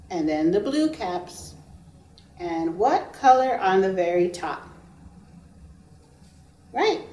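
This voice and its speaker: A woman's voice: medium pitch, a little mellow. A woman preschool teacher reading a story aloud to young children, with emphatic phrasing and questions for her listeners. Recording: roomy echo, distant microphone.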